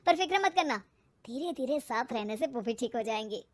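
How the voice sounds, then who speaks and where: A woman's voice: fairly high pitch, animated, fairly quick, pitched below the performer's own voice. A woman voicing a young husband who pleads and reasons as peacemaker in a family story. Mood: soothing. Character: placating young husband caught between wife and mother.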